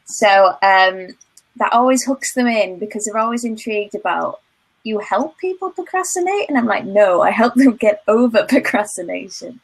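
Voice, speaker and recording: Young northern English woman, cheerful guest on a video call, quick to laugh. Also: mid-range voice, expressive, poor audio. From northern England. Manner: chatty, laughs often. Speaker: guest, procrastination coach. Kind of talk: casual interview.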